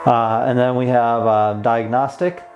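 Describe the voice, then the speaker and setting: A man's voice: mid-range voice, fairly even intonation, unhurried, lo-fi sound, over music. A young man hosting a casual product demonstration as a toy reviewer. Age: young adult. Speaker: tech toy reviewer and host.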